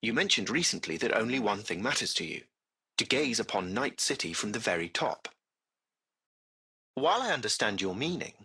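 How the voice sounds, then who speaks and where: A man voicing a servile, impeccably polite artificial intelligence taxi driver in a video game, with formal, ornate, measured diction. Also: fairly low pitch, very animated, creaky, sharp tone, husky, exaggerated voice, rough recording. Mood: smooth, formal. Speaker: AI driver and concierge.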